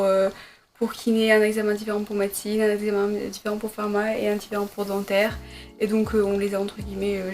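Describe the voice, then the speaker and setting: A young woman, a medical student, answering viewer questions in a casual sit-down vlog from her bedroom, hesitant with many fillers and self-corrections. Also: medium pitch. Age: young adult. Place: her bedroom at her parents' home.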